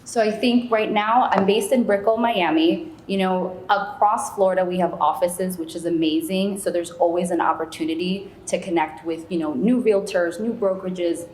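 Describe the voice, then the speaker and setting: A woman's voice: on the low side, steady tone, moderate pace, hardly any pauses, darkish tone. A woman who grew up in the United States, answering as an interview guest in a chatty, conversational way with frequent small asides. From United States, born in Peru. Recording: echoey room, faint background noise.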